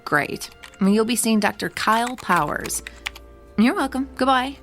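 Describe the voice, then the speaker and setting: A woman's voice: on the high side, expressive, soft background music. A woman playing a polite clinic receptionist. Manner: brisk phone politeness, hushed gossip.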